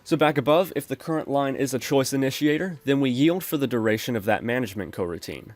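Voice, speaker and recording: A man calmly explaining code step by step as the host of a screen-recorded programming tutorial. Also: medium pitch, quick pace, no echo. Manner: steady explanatory, addresses viewers as folks.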